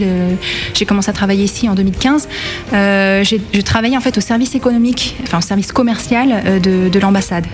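A woman in her thirties from France, answering interview questions in a hesitant way with many fillers and restarts. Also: mid-range voice. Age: 30s. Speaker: bakery manager.

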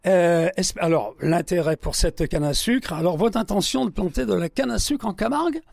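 A man hosting an interview, asking questions in a lively, conversational way. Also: sits high, normal speed, creaky, no room sound. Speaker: interviewer, radio host.

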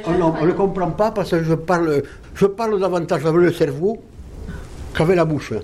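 A man's voice: on the high side, normal speed, darkish tone. A man joking in a casual group chat, stammering and repeating himself. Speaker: interviewee. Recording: crowd noise behind.

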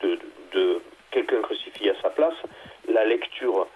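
A man, a theology guest, explaining at length in a halting conversational way, with many fillers and restarts. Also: on the low side, lively intonation, husky, phone-quality sound.

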